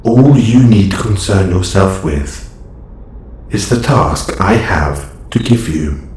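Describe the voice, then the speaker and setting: Man playing a slow, low-voiced formal robot hero. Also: lively intonation, darkish tone. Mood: firm, guarded. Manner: stilted, formal, measured. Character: calm, formal male robot superhero, secretly lonely. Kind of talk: scripted audio roleplay. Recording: roomy echo, slight background noise.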